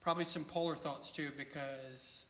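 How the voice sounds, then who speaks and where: A soft-spoken man with a slightly high, bright voice joins a livestream as a guest, hesitating often with ums. He sits a little away from the laptop mic. The room is echoey with a faint hiss. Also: fairly even intonation, normal speed. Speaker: guest co-host. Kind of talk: casual livestream chat.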